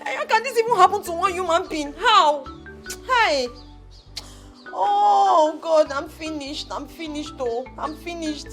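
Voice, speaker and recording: A woman's voice: very high voice, lively intonation, normal speed, sharp tone, faint music behind, full character voice. An actress playing a distraught young woman, wailing and pleading. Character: distraught young woman, grieving fiancé's loss. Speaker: daughter.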